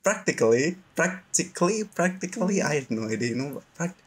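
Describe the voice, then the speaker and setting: A man's voice: fairly high pitch, very animated, fairly quick, husky. A young man from Indonesia chatting casually on a video call, laughing and restarting words as he talks. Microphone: computer mic on video call.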